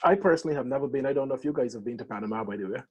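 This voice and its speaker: A man's voice: medium pitch, rapid-fire, a little mellow, husky. A man co-hosting a video chat, talking hesitantly and often repeating words. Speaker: co-host.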